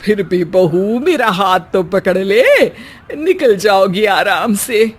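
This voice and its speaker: A woman voicing a patient, gently chiding, middle-aged village mother-in-law in a comic cartoon story. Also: mid-range voice, slow, slightly rough. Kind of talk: Hindi cartoon story acting.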